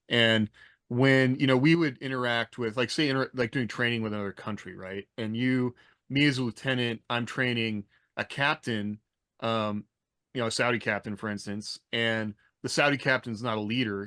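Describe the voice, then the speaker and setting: A man's voice: mid-range voice, steady tone, normal speed, sharp tone, dry recording, clean studio sound. A man talking casually on a podcast panel, explaining things in a roundabout, conversational way. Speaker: podcast panelist, former army officer. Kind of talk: casual discussion.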